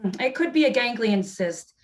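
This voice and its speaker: An actress as a matter-of-fact doctor in an online play reading. Her voice sits fairly high, and she explains things in a measured, clinical way. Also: animated, natural voice. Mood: professional, patient. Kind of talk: live play reading over video call. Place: indoors, remote video call performance.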